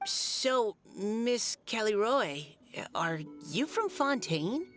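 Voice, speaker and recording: A woman's voice: a little high, very animated, drawn-out words, a bit bright, soft background music, exaggerated voice. A woman voicing a modest, earnest teenage boy soldier in a video game, sincere, polite and a little hesitant.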